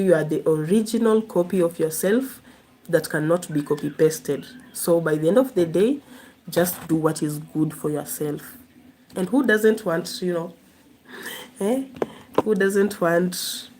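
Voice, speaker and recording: A woman's voice: on the low side, unhurried, mellow, slight background noise. A woman from Kenya, hosting a casual live stream, chatting and laughing as she answers viewers' comments.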